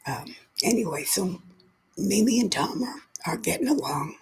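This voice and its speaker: A woman's voice: mid-range voice, very animated, measured pace, creaky, darkish tone, husky. A woman who has lost her voice, whispering her way through a chatty livestream and greeting viewers by name. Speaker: livestream host. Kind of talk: casual live chat with viewers.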